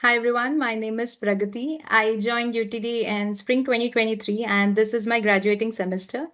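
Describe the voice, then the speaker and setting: A woman's voice: fairly high pitch, fairly even intonation, a little fast, a bit bright. A woman graduate student panelist giving a plain, orderly self-introduction on a video call.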